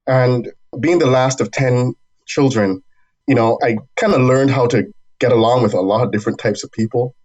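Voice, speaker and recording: A man from Barbados, a university dean being interviewed, talking in a thoughtful, measured way with small restarts. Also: medium pitch, darkish tone, poor audio. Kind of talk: reflective interview storytelling.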